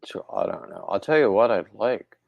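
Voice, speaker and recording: A man hosting a casual live chat with his viewers, rambling in a relaxed way and reading their comments aloud, his throat a little congested. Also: lowish voice, moderate pace, vocal fry, no room sound, muffled recording. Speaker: livestream host.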